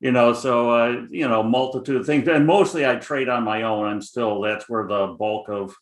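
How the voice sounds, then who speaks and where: A man's voice: mid-range voice, fairly even intonation, moderate pace, roomy sound. A middle-aged man with a Chicago accent, a guest options trader talking casually, rambling with many fillers. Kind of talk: casual interview chat.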